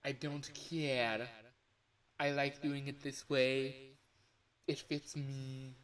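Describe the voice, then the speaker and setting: A man chatting casually as a livestreamer, rambling and halting, often correcting himself and sometimes swearing. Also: mid-range voice, little pitch movement, a bit bright, poor audio. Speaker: livestreamer recording voice lines.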